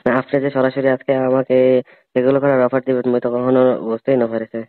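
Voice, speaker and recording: A man's voice: mid-range voice, level tone, quick pace, close to an everyday voice. A man playing a hesitant but eager young brother-in-law in a staged phone-call drama, asking probing questions. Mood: curious, hesitant. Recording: tinny narrowband phone line.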